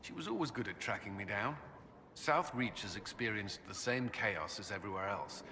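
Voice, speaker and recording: A burdened, dutiful commander in a fantasy video game. His voice is fairly low yet forward and bright, with formal phrasing. It carries in a reverberant hall. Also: expressive, moderate pace, a little hiss or hum. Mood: reserved. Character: earnest, guarded military commander, burdened and dutiful.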